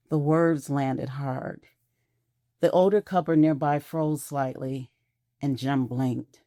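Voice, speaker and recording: A woman narrating an audio drama in a measured, intimate storytelling manner. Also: sits low, dark tone, no room sound, studio quality.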